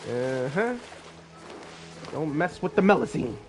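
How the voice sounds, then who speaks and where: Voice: mid-range voice, animated, unhurried, a little mellow, soft background music. A game streamer commenting casually and playfully while playing. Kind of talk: gameplay streaming, casual reading aloud and commentary.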